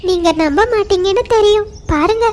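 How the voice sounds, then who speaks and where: A woman's voice: extremely high pitch, fairly quick, a little mellow. A woman voicing a small, talkative female bird in a children's cartoon. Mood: persuasive.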